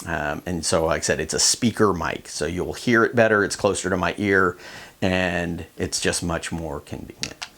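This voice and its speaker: A man's voice: deep voice, moderate pace, gravelly, fairly bright tone. A man giving a casual, plain-spoken instructional demonstration in a conversational way. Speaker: kayak fishing instructor.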